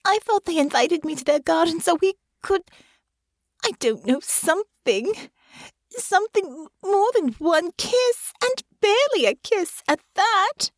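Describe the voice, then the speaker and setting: A woman voicing an anxious, wounded young noblewoman, high and plaintive. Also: sing-song, measured pace, dry recording, full character voice. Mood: tearful. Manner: plaintive, rising, tearful pleading.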